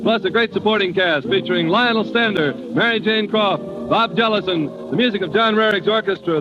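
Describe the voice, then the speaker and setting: A man announcing a 1940s radio comedy show in a polished, showmanlike style. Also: high-pitched. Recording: muffled old radio recording.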